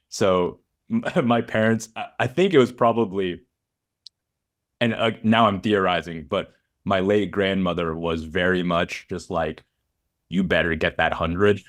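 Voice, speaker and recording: Low-voiced man hosting a podcast. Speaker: podcast host and interviewer. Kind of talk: casual podcast interview with scripted intro.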